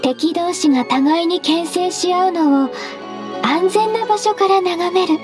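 A gentle secretary from an anime-style game. Her voice is very high and soft. She is measured, formal and politely firm, over clearly audible background music. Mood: gentle explaining. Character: gentle, composed half-beast female secretary, politely firm.

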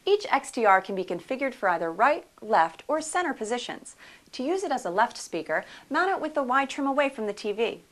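A woman's voice: on the high side, very animated, fairly quick, a bit bright. A woman reading scripted promotional narration for a product video in a polished, upbeat sales manner.

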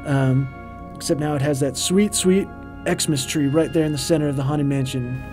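A man's voice: fairly high pitch, steady tone, normal speed, close to an everyday voice. A man voicing a vain, boastful ghost who hosts a comedic commentary track, talking in a hammy, pompous way over music. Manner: pompous, hammy, grows loud and ranting. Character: vain festive male ghost host, boastful. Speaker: co-host. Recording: music playing underneath.

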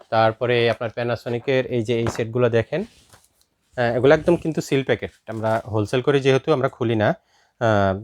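A man with a Bangladeshi accent giving an informal product demonstration as a shop seller, explaining and repeating his points. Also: medium pitch.

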